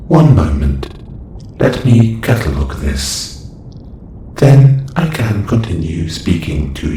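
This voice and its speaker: A man's voice: very deep voice, dark tone, heavily acted. A man voicing a clinical, detached observation robot in an audio roleplay, speaking in a stilted, formal, matter-of-fact way. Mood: clinical, cataloguing. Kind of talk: scripted audio roleplay monologue. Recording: roomy echo with faint noise.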